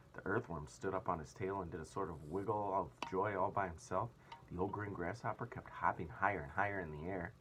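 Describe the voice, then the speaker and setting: A man's voice: sits low, a little hiss or hum, rough recording. A man reading a children's story aloud as narrator, expressively.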